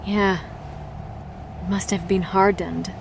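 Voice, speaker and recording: A woman's voice: medium pitch, little pitch movement, takes it slowly. A woman acting a focused, uneasy paranormal investigator in an audio drama. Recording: clear background noise.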